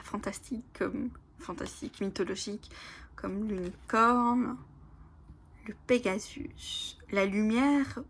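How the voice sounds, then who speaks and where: A woman whose first language is Italian, casually presenting oracle cards, reading their lines aloud slowly and whispering in awe, with many fillers. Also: mid-range voice, a little hiss or hum. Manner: soft, often whispering in awe, hesitant with fillers. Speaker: oracle card channel host.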